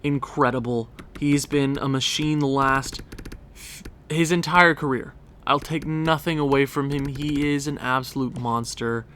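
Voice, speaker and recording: A man's voice: mid-range voice, steady tone, measured pace, a bit bright, a little hiss or hum. A young man with a stuffed-up, congested voice, chatting casually and rambling as he narrates a hockey management game for his channel. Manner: chatty, rambling, self-correcting. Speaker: gaming YouTuber. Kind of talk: casual gameplay commentary.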